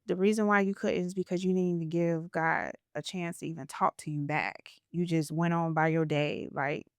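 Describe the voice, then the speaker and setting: A woman's voice: fairly low pitch, fairly even intonation, normal speed, smooth, dry recording, studio quality. A woman podcast host talking in an earnest, reflective way as she shares a spiritual story in a casual interview.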